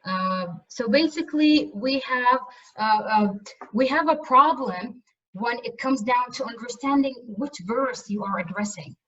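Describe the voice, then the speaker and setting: A woman's voice: on the high side, fairly bright tone, a little hiss or hum. A woman whose first language is Arabic, giving a university lecture in English with many fillers and restarts. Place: lecture room. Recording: distant muffled lecture-room recording.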